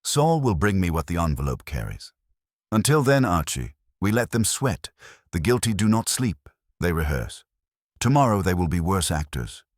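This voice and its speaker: A man's voice: sits low, sing-song, normal speed, no echo, studio quality, pitched above the performer's own voice. A man voicing an imperious, pedantic master detective with pompous, formal and precise speech in an audiobook. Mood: grimly assured.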